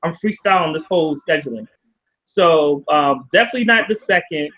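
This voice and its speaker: A man hosting a casual live video chat, rambling, laughing often and getting excited. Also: a little high, normal speed. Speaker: show host. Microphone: video call. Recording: dull lo-fi video call audio.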